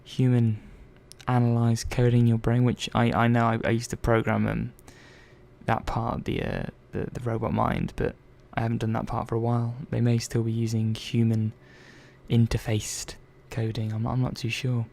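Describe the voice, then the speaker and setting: A man's voice: mid-range voice, monotone, moderate pace, gravelly, darkish tone, natural voice. A man playing a chatty, caring robot technician in an ASMR roleplay, talking hesitantly and reassuringly to one listener. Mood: chatty, musing. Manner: hesitant, rambling, reassuring, murmured asides. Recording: faint background noise and ambience.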